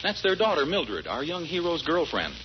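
A man's voice: a little high, wildly swooping pitch, moderate pace, slight background noise. A man announcing and narrating a scripted 1940s radio comedy show. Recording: muffled 1940s radio recording, loud music.

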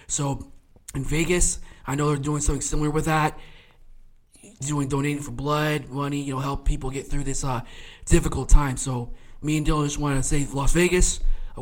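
Man, late twenties, podcast co-host, chatty and rambling, lots of fillers, slightly high voice. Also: flat delivery, brisk. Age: 20s. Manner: chatty, rambling, laughs often, frequent fillers. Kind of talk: casual sports podcast chat.

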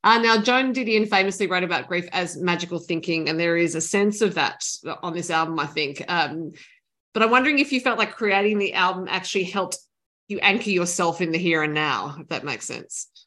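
Woman interviewer, bright tone, low-ish pitch, measured questions. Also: expressive. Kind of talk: radio-style arts interview.

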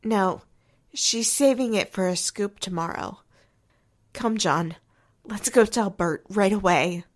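A woman's voice: medium pitch, takes it slowly. A woman playing an earnest, hopeful, devoted character in a 1940s-style radio drama.

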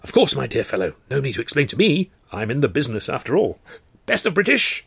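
A man voicing an excitable upper-class gentleman, gushing and effusive, with old-fashioned genteel phrasing. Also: mid-range voice, fast talker, creaky, husky, voice pushed up, exaggerated voice. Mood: conspiratorial.

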